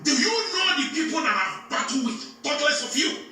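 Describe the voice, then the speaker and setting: A Nigerian man with an Igbo accent rants in a very high, piercingly bright voice. He is agitated, insulting and repetitive, and the recording is heavily echoed. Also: very animated, normal speed. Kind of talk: heated informal rant. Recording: distant, echoey recording with music underneath.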